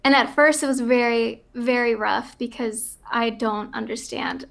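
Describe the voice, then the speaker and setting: A woman panelist on a casual gaming chat show, talking in a bubbly, excitable and self-mocking way. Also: a little high, measured pace, creaky. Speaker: regular panelist. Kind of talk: casual chat about games.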